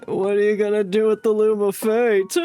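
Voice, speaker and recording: A woman's voice: medium pitch, little pitch movement, moderate pace, mellow, smooth. A woman streaming a game, giving casual, playful and emotive live reactions to the story. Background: game music.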